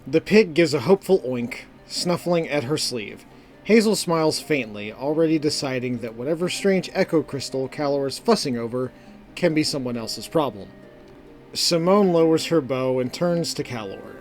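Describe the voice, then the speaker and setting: A man narrating a scripted fantasy story in a measured, deliberate, dramatic manner. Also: fairly high pitch, animated, darkish tone. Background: background music.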